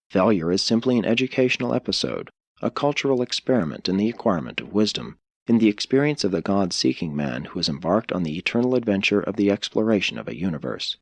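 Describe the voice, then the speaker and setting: A man reading a philosophical religious audiobook in a measured, solemn, formal way. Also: on the low side, no room sound. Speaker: audiobook narrator. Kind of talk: scripted audiobook narration of a philosophical religious text.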